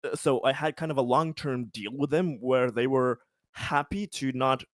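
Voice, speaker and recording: A man's voice: medium pitch, moderate pace, dry recording, studio quality. A man playing a tabletop RPG, casually recapping his character's schemes in a rambling, sweary, self-amused way.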